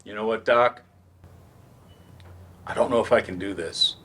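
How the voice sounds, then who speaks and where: A man's voice: on the low side, a little slow, pushed and bright, full character voice. A man reading the part of a dejected, sarcastic writer turned teacher in a staged play, dry and wordy. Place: library event room, staged reading.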